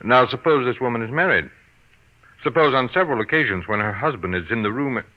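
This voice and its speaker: A man's voice: mid-range voice, animated, brisk, natural voice. An actor playing a cold, suspicious, commanding businessman with a clipped, impatient manner, in 1940s radio drama style. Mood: probing, deliberate. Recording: dull vintage 1940s radio recording.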